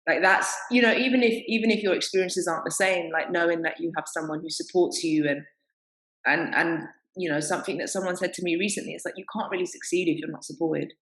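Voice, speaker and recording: A woman with a low voice speaks on a panel, telling candid stories and laughing often. The sound is roomy and echoey. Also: fairly even intonation, quick pace, a bit bright. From England. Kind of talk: panel discussion.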